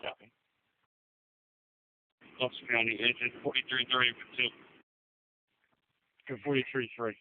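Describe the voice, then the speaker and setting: A man's voice: medium pitch, level tone, unhurried, long pauses. A man working as a county dispatcher, handling emergency radio traffic in a procedural, matter-of-fact way. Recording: narrowband phone-like emergency radio.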